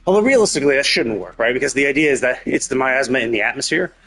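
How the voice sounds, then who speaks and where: A man's voice: medium pitch, expressive, racing, fairly bright tone. Rambling male game streamer over faint music. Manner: rambling, musing, hedging as he reasons aloud. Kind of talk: casual live gameplay commentary.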